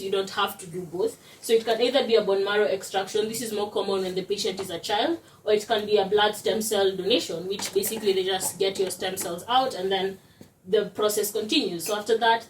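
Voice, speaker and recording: A young woman from Kenya, explaining a student project to an audience in a steady way. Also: mid-range voice, a little hiss or hum. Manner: explanatory, steady, uses "basically" as a filler. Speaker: student presenter. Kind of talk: presentation. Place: lecture room. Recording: roomy echo, distant mic.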